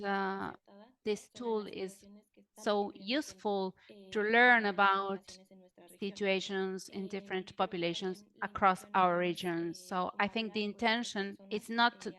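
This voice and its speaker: A woman giving simultaneous interpretation into English on an online panel, halting and repeating words as she catches up. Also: mid-range voice, a bit bright. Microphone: video call.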